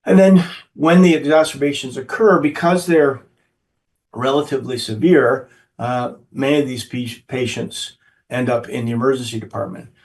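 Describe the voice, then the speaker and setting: A croaky, hoarse man delivers a medical webinar lecture, his voice set a little back from the microphone. He speaks in a halting way, often doubling up on his words. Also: mid-range voice, recorded from a distance. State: hoarse from a cold. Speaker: physician speaker.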